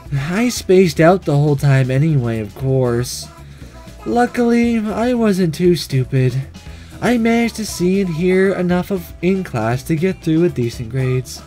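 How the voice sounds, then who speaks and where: A man's voice: on the high side, mellow. Man reading as a sleepy, blunt schoolgirl, lilting delivery. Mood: weary, resigned. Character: sleepy, detached schoolgirl narrating about her friends. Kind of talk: visual novel read-aloud narration.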